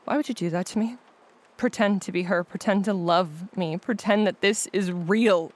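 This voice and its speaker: A woman's voice: medium pitch, moderate pace, close to an everyday voice. A woman acting a distraught, desperate patient trapped in a virtual reality, pleading and accusing, in an audio drama. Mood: hurt, accusing.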